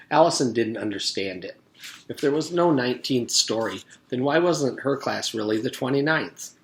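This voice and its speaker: A man reading a children's book aloud as a teacher would for pupils, with expressive storytelling. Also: on the low side. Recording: echoey, reverberant room.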